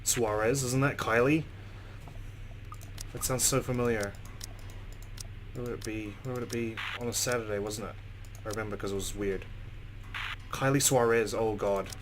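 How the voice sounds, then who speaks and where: A man's voice: mid-range voice, fairly even intonation, moderate pace, pauses often, noisy background. A man hosting a let's play, reading visual novel narration dramatically and reacting with exclamations.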